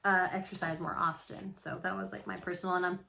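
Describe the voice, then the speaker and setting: A woman's voice: fairly low pitch, flat delivery, fast, gravelly, pushed and bright. A woman in her late thirties co-hosting a casual chat, speaking informally with plenty of "like" and "you know". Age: late 30s. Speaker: co-host of a witchy chat channel. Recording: faint background noise.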